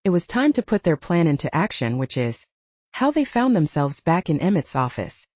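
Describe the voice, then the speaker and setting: A woman's voice: sits low, big pitch swings, normal speed, a little mellow, dry recording, highs cut off. A woman narrating an audiobook novel in a scripted storytelling style.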